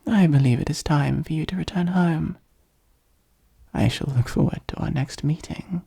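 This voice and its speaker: Breathy, whispering possessive demon played by a performer. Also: mid-range voice, mellow. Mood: measured, fond. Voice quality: breathy, husky, much of it whispered. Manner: slow, formal, deliberate, intimate. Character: possessive demon ruler of hell, softly devoted. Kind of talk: ASMR roleplay monologue.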